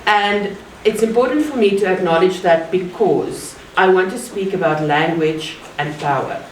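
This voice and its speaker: A woman from South Africa with an Afrikaans accent, giving an earnest, measured public talk with deliberate pauses. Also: fairly low pitch. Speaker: guest speaker. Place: art gallery. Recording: roomy, distant mic, faint ambient noise.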